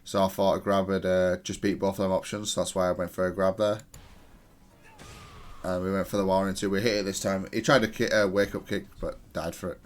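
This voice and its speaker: A man with a fairly low voice talks through his own gameplay. His delivery is analytical and matter-of-fact, with a self-critical streak. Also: little pitch movement, brisk. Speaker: gamer reviewing own match.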